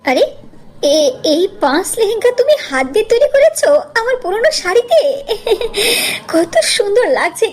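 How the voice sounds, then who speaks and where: A woman plays a doting mother in a cartoon tale. Her voice is very high and sweeps up and down. She speaks tenderly and tearfully, full of plaintive affection. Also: darkish tone. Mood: amazed, touched. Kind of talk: cartoon story character acting.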